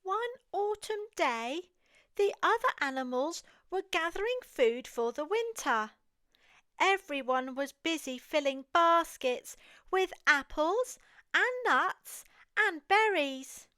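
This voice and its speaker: A woman with an English accent reads a picture book aloud to young children in an expressive, deliberate storytelling manner. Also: high-pitched, no room sound, studio quality. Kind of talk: read-aloud picture book narration for toddlers.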